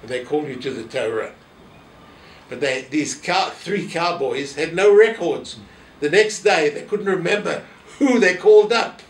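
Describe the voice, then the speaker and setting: A man's voice: on the high side, unhurried. An elderly man with a South African accent, reminiscing in an interview and telling anecdotes in which he re-enacts what people said. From South Africa, family from Poland. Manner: anecdotal, re-enacting quoted remarks. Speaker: interviewee, former synagogue office holder. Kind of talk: reminiscing in an oral history interview. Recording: roomy echo, distant mic, faint noise.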